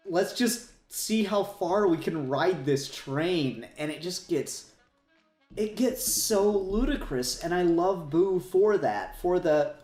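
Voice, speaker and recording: A man with a slightly high voice chats as a podcast host about things he is a fan of. He rambles with enthusiasm and swears freely. The recording has a noticeable room echo and some faint music underneath. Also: a little mellow. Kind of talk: casual fan discussion.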